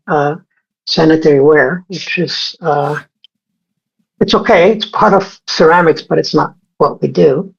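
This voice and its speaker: A man's voice: a little high, creaky, husky. A man in his sixties from Egypt, a scientist guest in an interview, talking casually with many fillers and restarts. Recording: dull lo-fi sound.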